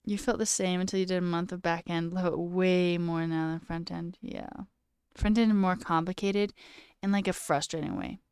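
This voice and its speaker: Young woman, slight sore throat, smooth clear voice, dry close sound, casual live coding chat. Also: lowish voice, steady tone, moderate pace, a little mellow, dry recording. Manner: reads chat aloud, mutters and swears at buggy code. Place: small room indoors.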